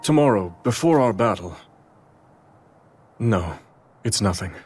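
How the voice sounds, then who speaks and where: A man voicing a grave, reflective king with a formal, measured delivery in a dramatic video game. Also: on the low side, animated, darkish tone, husky, soft background music, heavily acted. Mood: gentle, weighty. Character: noble, burdened male king, grave and reflective.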